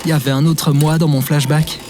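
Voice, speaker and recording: A man's voice: on the high side, little pitch movement, fairly quick, music in the background, natural voice. An actor playing a listless, confused young man in an audio drama, hesitant and mumbling. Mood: bewildered. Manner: short mumbled replies, hesitant. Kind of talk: French audio drama acting.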